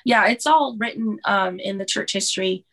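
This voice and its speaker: A woman's voice: mid-range voice, normal speed, a bit bright. A woman, a podcast guest telling her story in a hesitant way, with many ums and restarts. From Hawaii. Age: 30s. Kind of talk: casual interview.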